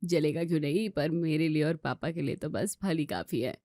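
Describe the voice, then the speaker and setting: A woman voicing a calm, diet-obsessed husband in a family story, giving preachy and dismissive advice. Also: low-pitched, normal speed, dry recording, clean studio sound, pitched below the performer's own voice. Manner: dismissive, advising. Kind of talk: acted Hindi family story dialogue.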